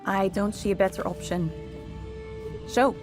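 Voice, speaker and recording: Actress as composed female resistance leader, mid-pitched. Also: over music, lightly acted. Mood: composed, firm. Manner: measured, authoritative. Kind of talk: video game cutscene acting.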